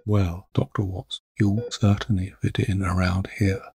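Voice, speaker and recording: A man's voice: deep voice, little pitch movement, normal speed, creaky, a little mellow, slightly rough, no echo, studio quality, exaggerated voice. A man playing a frail, dying duke in an audio drama, courteous, grave and intent on his quest. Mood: courteous, weary.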